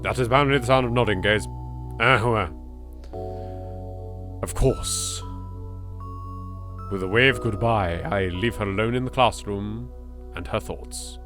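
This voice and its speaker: A man with an English accent hosting a let's play, reading narration aloud and breaking in with animated, jokey asides. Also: lowish voice, measured pace, pauses often, over music. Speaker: gaming video host.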